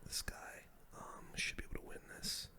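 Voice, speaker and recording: Casting: ASMR game streamer, sex open, breathy bright whisper throughout, slangy play-by-play delivery. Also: a little fast. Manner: casual gamer slang, talks through each move. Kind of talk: whispered ASMR gameplay commentary.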